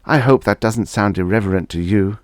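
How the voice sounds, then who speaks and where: A man's voice: fairly low pitch, fairly quick, dry recording, clean studio sound, voice pushed up, close to an everyday voice. A man voicing a desperate, cynical young widower in a science fiction audiobook, insistent and pleading. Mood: eager, nervous.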